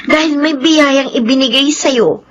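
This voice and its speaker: A woman's voice: high voice, measured pace, lo-fi sound. A young woman with a Filipino accent playing an earnest, sisterly, hardworking student in a radio drama, emotive and admonishing. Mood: earnest pleading. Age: young adult.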